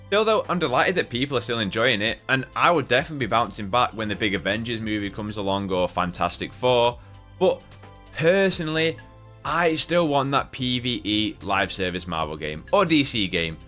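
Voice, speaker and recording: Bright-voiced man giving chatty game commentary. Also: fairly low pitch. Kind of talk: casual opinion commentary to camera or mic. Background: background music.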